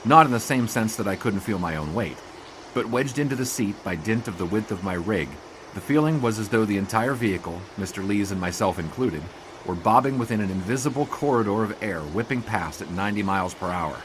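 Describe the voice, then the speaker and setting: An actor playing an earnest, wordy sportswriter, narrating a radio drama in a formal, literary, wry way. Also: male voice, fairly low pitch, lively intonation, a little fast, noisy background, natural voice. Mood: reflective, wry. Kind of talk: scripted first-person radio drama narration.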